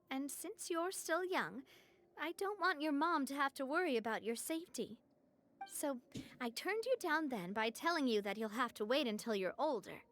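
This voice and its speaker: A woman voicing a spirited young leader who looks after her people, talking in a lively and encouraging way. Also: sits high. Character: warm, spirited young woman who leads a protective group. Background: game music.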